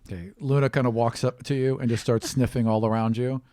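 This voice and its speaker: A man's voice: medium pitch, steady tone, fast, a little mellow, no room sound. A man running a tabletop roleplaying game as game master, narrating casually and encouraging the players.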